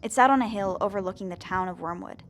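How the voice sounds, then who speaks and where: A woman's voice: mid-range voice, fast talker, a bit bright, a little hiss or hum, lightly acted. A woman playing a bewildered teenage zombie girl who dramatically narrates her own story in an audio drama. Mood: wry storytelling. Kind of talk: scripted first-person audio drama narration.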